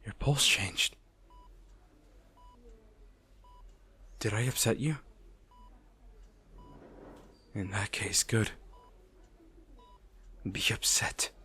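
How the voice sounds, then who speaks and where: A man playing an anguished, possessive villain in an intimate audio roleplay monologue, halting and brooding, his gravelly voice often slipping toward a whisper. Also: on the low side, bright, forceful tone, full character voice. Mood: pleading, defiant. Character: anguished, possessive male villain at rival hero's bedside.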